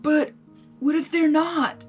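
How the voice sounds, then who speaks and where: A woman's voice: high voice, steady tone, slow pace, voice pushed up. A woman voicing a downcast, lovesick young male dragon with a hesitant manner, in a story reading. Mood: worried. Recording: music behind the voice.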